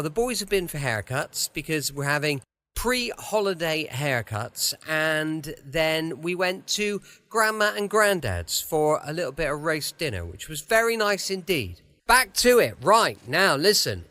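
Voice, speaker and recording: British man, chatty podcast host, bright tone, very lively intonation, slightly high voice, rambling, casual. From United Kingdom. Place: small home studio.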